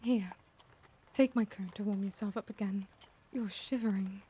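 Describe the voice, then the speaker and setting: A woman voicing an elegant, caring vampire lady in a soft-spoken ASMR roleplay, speaking gently with formal, old-world courtesy. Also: medium pitch, steady tone, normal speed, a little hiss or hum, lightly acted. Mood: gentle, welcoming. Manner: genteel, gentle, doting, formal wording.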